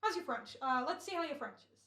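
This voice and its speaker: Woman as bossy director, high-ish, echoey room. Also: expressive, quick pace, pushed and bright. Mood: businesslike, fussy. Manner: bossy, thinking aloud. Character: George, brisk fussy male radio director. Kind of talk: old-time radio drama acting. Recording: echoing room, home recording.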